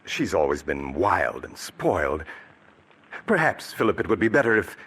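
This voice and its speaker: Smooth, manipulative brother in 1940s radio drama style, slightly husky. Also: male voice, low-pitched, very animated, moderate pace, fairly bright tone, full character voice. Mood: concerned. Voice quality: persuasive, stammering theatrical delivery. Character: cunning brother, smooth schemer. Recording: old radio broadcast.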